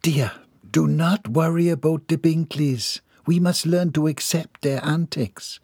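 Man doing a proper mansion lady, formal, brisk, husky, dry sound. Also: a little high, fairly even intonation, a little mellow, dry recording, pitched above the performer's own voice. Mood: composed. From Jamaica. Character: proper, commanding mistress of a mansion.

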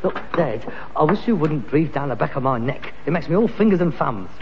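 An actor playing a pretentious, defensive grown son in a vintage British sitcom, with a London accent and a blustering delivery. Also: male voice, on the high side, expressive, a little fast, big-room sound, muffled recording, noisy background. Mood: irritated.

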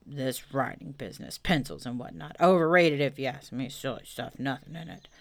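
A woman's voice: deep voice, unhurried, a bit bright, smooth. A woman voicing a gloomy, self-important male donkey in a children's storybook, grumbling through ponderous speeches. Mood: muttering, dismissive.

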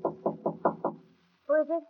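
A woman's voice: very slow, no room sound, soft background music. An actress in a 1940s radio crime drama playing a clingy, devoted American gangster's girlfriend with slangy talk. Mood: eager, adoring. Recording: muffled, dry 1940s radio broadcast.

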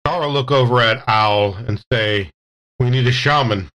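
A man's voice: mid-range voice, measured pace, fairly bright tone, lo-fi sound. A man playing a tabletop roleplaying game, talking casually in character.

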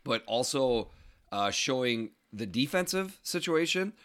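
Hoarse, raspy man hosting a podcast. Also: medium pitch, measured pace. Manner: reads scores and stats in a steady run, then chats. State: hoarse from screaming at a game. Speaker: podcast co-host. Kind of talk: casual sports podcast chat.

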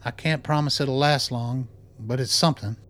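An actor playing a composed, principled doctor in a radio drama, speaking in a measured, clinical, matter-of-fact way. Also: male voice, mid-range voice, fairly even intonation, fairly bright tone, lightly acted. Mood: sober, reassuring. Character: composed, principled male doctor running a secret lab.

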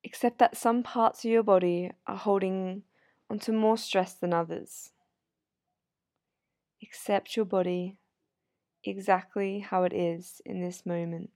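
A woman guiding a sleep meditation in a soothing, unhurried, gently instructive manner. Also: mid-range voice, fairly even intonation, no room sound, studio quality. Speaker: yoga nidra meditation guide. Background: soft sound healing instrumental music.

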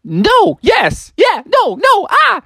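A man voicing an eager, excitable young frog boy who exclaims dramatically in a children's story. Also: high-pitched, a little slow, dry recording, clean studio sound, exaggerated voice. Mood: panicked.

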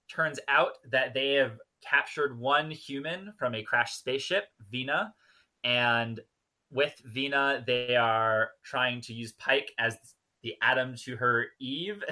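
A man's voice: medium pitch, sharp tone. A man co-hosting a podcast, recapping and chatting casually with wry asides. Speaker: podcast co-host. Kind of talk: casual chat and episode recap.

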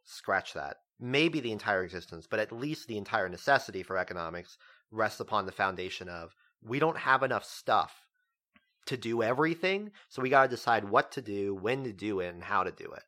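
A man with a fairly low voice co-hosts a casual discussion podcast. He explains concepts at length and talks in a lecturing way. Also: expressive, fairly quick, bright, forceful tone. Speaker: podcast co-host.

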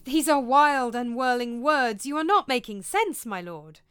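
A woman's voice: high voice, animated, unhurried, a bit bright, smooth, no room sound, voice pushed up. Woman as a worried loyal friend, lifted voice. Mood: concerned, doubting. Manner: careful, questioning. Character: prince's worried, reasoning loyal male friend.

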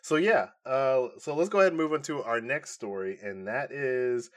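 A man's voice: medium pitch, normal speed. A man with a mid-range voice talks as a podcast co-host. His style is casual and conversational, like informal chat between friends reviewing entertainment news.

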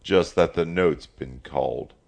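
Man voicing a stern, deep farmer father. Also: unhurried, gravelly, a little mellow, full character voice. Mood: grim. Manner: curt, firm. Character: stern, proud Southern farmer father, determined.

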